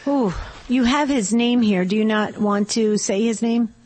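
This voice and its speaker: A woman's voice: a little high, unhurried. A woman co-hosting a radio prayer show, talking to callers in an encouraging way with frequent restarts. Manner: warm, encouraging, rambling restarts. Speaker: radio host.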